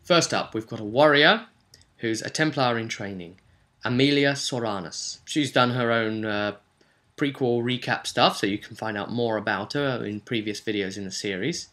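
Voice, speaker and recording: A man's voice: medium pitch, normal speed, pushed and bright. A man speaking as a game master and video host, giving a chatty, explanatory recap of a tabletop role-play session with rambling asides.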